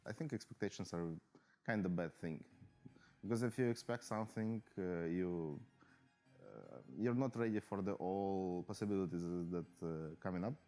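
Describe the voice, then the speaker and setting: A man, a professional player, giving a halting interview full of fillers and restarts. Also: low voice, dark tone. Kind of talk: post-match player interview.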